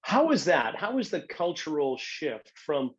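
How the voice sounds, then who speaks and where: A man's voice: fairly high pitch, big pitch swings, a little slow, muffled recording. A man hosting a podcast, friendly and self-deprecating, often stumbling over and repeating words. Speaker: podcast host. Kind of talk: casual podcast interview.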